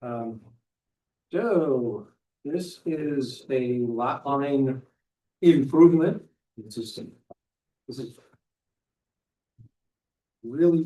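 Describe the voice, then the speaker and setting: Man presenting at a public meeting, hesitant, soft-edged dark timbre, recorded distantly with strong room echo. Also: mid-range voice. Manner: halting, hesitant. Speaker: presenter of a project to the board. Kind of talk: unscripted explanation at a public meeting. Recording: echoey room, distant from microphone.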